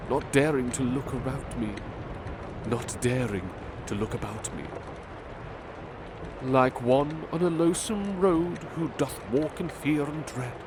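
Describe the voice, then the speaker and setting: Gentle, measured male ASMR storyteller. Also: mid-range voice, background noise. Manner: measured, gentle, literary reading. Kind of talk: ASMR roleplay story reading.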